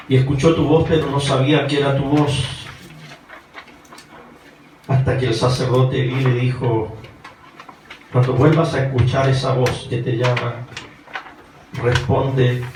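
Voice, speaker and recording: A man with a Chilean accent preaching a sermon as a pastor, putting questions to his listeners. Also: mid-range voice. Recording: roomy, distant mic, faint noise.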